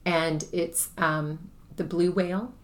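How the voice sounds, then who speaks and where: Woman, low voice that carries, chatty w/ lots of ums. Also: fairly even intonation, slow pace. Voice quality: projecting voice. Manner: chatty, thinks aloud with many fillers. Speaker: guest, spiritual teacher. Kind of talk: casual conversation.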